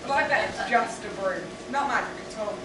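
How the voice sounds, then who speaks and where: A woman's voice: on the high side, brisk, a bit bright, lightly acted. A woman playing a dim, sceptical villain's henchman in a stage pantomime. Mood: doubtful. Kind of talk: amateur stage pantomime acting. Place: stage of a small theatre or hall. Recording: distant echoey theatre, heavy noise.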